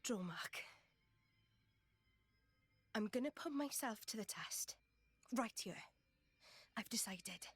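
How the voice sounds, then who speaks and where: A woman's voice: a little high, expressive, slow pace, pauses often, slightly rough, no echo, full character voice. A woman playing a feisty, sarcastic and stubborn young woman in a video game, blunt and teasing. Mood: resolute. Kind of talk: video game cutscene acting.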